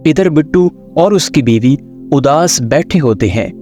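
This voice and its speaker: A man narrates a children's story in a slightly high voice. The reading is scripted storytelling, with faint music in the background. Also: animated, unhurried, dark tone.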